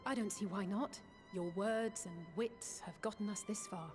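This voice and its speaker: An actress plays an encouraging female companion in a video game. She speaks at a middle pitch, offering easy support. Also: moderate pace, music in the background, natural voice. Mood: supportive.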